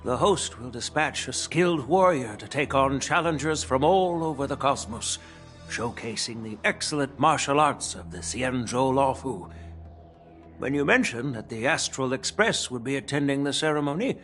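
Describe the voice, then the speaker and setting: A seasoned old elder acted by a man from a video game, frank and shrewd. He speaks formally and with measured gravity at a middling pitch, with faint music underneath. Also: expressive, fairly bright tone, close to an everyday voice.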